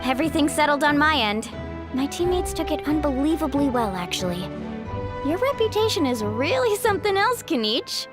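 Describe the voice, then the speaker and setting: A woman's voice: high-pitched, very animated, normal speed, over music. A woman playing an upbeat, sociable young athlete in a video game, with a confident, breezy, casual manner.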